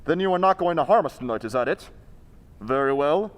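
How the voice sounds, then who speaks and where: A man playing a bold, fearless investigator in an old-time radio drama, speaking deliberately and challengingly. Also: on the high side, expressive, close to an everyday voice. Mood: resolute. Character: Lamont, fearless calm male investigator confronting a ghost. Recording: reverberant hall sound.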